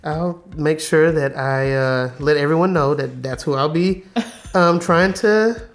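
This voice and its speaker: A man in his forties from Texas, telling his faith story in a relaxed way, chuckling as he talks. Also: on the high side, unhurried. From Houston, Texas. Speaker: interviewee. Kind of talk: personal faith testimony.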